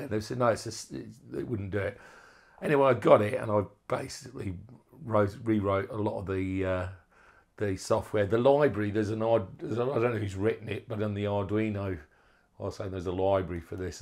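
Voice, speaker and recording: A man with a fairly low voice talks through a hands-on electronics project. He is an informal hobbyist presenter, unscripted and a little hesitant, often pausing and rephrasing as he goes. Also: darkish tone. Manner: hesitant, unscripted, halting phrasing.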